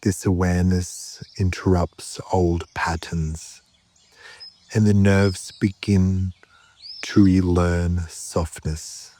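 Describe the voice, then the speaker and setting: A man guiding a meditation in a calm, measured way, with short phrases, long pauses and a soft, at times breathy voice. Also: low-pitched. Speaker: yoga nidra meditation guide. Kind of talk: slow guided meditation narration.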